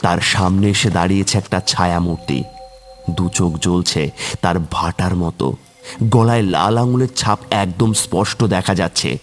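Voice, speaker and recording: A man's voice: deep voice, fairly even intonation, moderate pace, soft background music. A man narrating a scripted horror story in a dramatic, suspenseful way.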